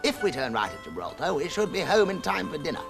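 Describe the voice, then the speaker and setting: A man voicing a pompous, know-it-all male mouse in a storybook, high and self-important. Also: wildly swooping pitch, fast, gravelly, music in the background, full character voice. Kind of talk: storybook character voice.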